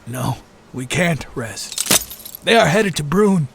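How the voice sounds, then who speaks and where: Man acting an excitable, high-voiced anxious mentor. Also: takes it slowly, creaky, background noise, heavily acted. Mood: urgent. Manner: emphatic, urgent, theatrical. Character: excitable, anxious older male mentor of young squad. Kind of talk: sci-fi audio drama acting. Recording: music behind the voice.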